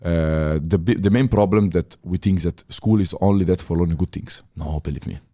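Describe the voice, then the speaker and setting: Italian man, deep voice, halting English. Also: mellow. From Italy. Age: 30s. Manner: halting non-native phrasing with many fillers and restarts. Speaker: podcast guest, former chef. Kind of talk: casual interview talk.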